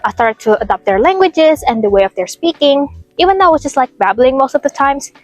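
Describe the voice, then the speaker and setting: Young woman, fairly high voice. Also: expressive, brisk, dry recording. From Indonesia. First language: Indonesian. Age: young adult. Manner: casual, frequent "like" fillers. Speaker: vlogger. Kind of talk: scripted vlog narration. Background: faint lo-fi music.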